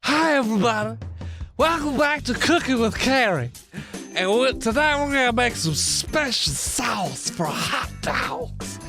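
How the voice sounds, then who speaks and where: A quirky cooking show host played by a woman, chatty and rambling with lively swings in pitch. Loud music plays under the voice. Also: measured pace.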